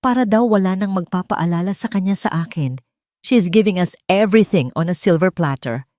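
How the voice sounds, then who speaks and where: A woman's voice: on the low side, fairly quick, warm tone, dry recording, clean studio sound, close to an everyday voice. Woman narrator as a smug, boastful cheating husband. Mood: smug, triumphant. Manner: smug, boastful, mixing Tagalog and English. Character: boastful, scheming adulterous husband, smug and dismissive.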